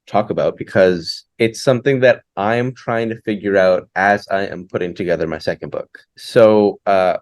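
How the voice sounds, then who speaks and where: A man, a guest poet answering interview questions thoughtfully, often pausing and restarting as he thinks aloud. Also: lowish voice, a little mellow, no room sound. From Hamilton, Ontario, Canada. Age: late 20s. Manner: reflective, thinks aloud with many fillers and restarts. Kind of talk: conversational interview.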